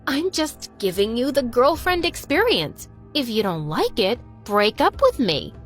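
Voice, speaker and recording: A woman's voice: fairly high pitch, very animated, measured pace, soft background music. A woman voicing a feisty, sarcastic teenage cheerleader with exaggerated, dramatic delivery. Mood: defiant, sarcastic.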